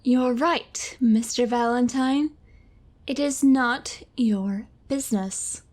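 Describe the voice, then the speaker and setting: An actress playing a mysterious, haughty client with a cool, formal manner in a noir audio drama. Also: female voice, fairly high pitch, fairly even intonation, slow, darkish tone. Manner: cool, formal, clipped phrasing.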